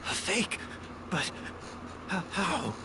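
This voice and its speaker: A man's voice: high voice, big pitch swings, slow, pushed and bright, a little hiss or hum, full character voice. An actor playing a weakened, despairing man in a video game drama, speaking in dazed, faltering phrases. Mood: dazed, disbelieving.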